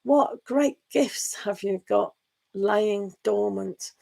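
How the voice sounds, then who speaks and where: A woman giving a live online tarot reading and losing her voice. She talks in a meandering, gentle way and reads the card texts aloud. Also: medium pitch, very slow, gravelly. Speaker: tarot reader.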